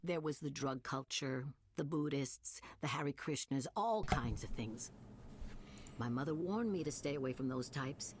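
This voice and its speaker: A low-voiced, rambling old lady. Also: a little slow, a little mellow, slight background noise. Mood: chatty. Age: older. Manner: rambling, gossipy, conversational. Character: chatty, suspicious, rambling old woman in therapy. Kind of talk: video game voice acting.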